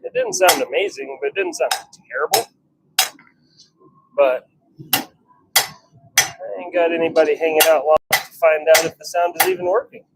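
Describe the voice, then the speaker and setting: A man's voice: a little high, big pitch swings, moderate pace, gravelly, long pauses, heavy background noise. A man chatting offhand on a livestream as he works in a workshop, grumbling and self-deprecating. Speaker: livestream host and hobby blacksmith. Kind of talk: casual live chat while working. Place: home forge workshop.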